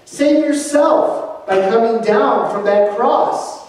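A man voicing a scornful high priest who mocks in a raised, sneering voice. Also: slow, darkish tone, heavy reverb, far from the mic, rough recording. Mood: mocking. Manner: mocking, raised voice.

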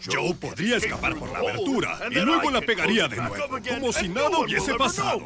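A high, animated cartoon voice with a creaky edge, grumbling and exclamatory. The delivery is expressive and made for a children's dub. Loud music plays behind it. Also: male voice, fairly quick, exaggerated voice. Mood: eager.

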